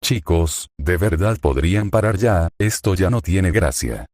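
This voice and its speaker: Jokey young hero, read by a low-voiced man, dry close sound. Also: animated, a little slow, darkish tone, no room sound. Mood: joking. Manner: jokey, then pleading. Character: cheerful young man turned desperate, hero.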